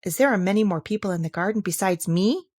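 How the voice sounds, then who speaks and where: A woman's voice: medium pitch, fast, a little mellow, no room sound, studio quality, natural voice. A woman playing a polite, timid and curious little girl in a storybook, with a questioning manner. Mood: eager.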